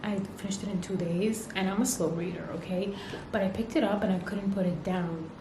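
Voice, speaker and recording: A young woman whose first language is Arabic, chatting casually and excitedly about books she is reading for her vlog, often tagging "okay?" and "like" onto her sentences. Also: medium pitch, a little fast. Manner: gushing, excitable, repeats "okay?" and "like". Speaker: book vlogger. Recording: echoey room with noticeable background noise.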